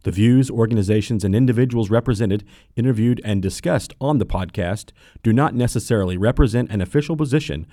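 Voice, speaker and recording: A man reading a scripted podcast outro in a measured, formal way. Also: fairly low pitch, dark tone, no echo, studio quality. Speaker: announcer.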